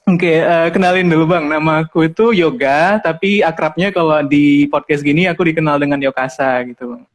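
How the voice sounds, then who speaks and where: A man's voice: fairly high pitch, fairly quick. A man in his twenties hosting a podcast in casual chat, talkative and laughing often. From Medan, Indonesia. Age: 20s. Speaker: podcast host.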